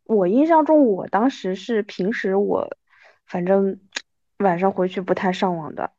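A woman in her thirties hosting a casual chat interview, talking in an animated way. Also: mid-range voice, unhurried, dry recording, lo-fi sound. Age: 30s. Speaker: podcast host.

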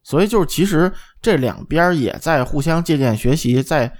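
A man's voice: on the high side, lively intonation, moderate pace. A man co-hosting a podcast, chatting about audio gear in a blunt, colloquial way and often tagging points with checks for agreement. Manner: blunt, colloquial, seeks agreement with tag questions. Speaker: podcast co-host.

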